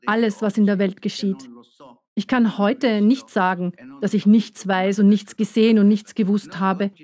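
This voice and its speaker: A woman giving a measured, earnest first-person voice-over for a documentary. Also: mid-range voice, dark tone. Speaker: voice-over speaker.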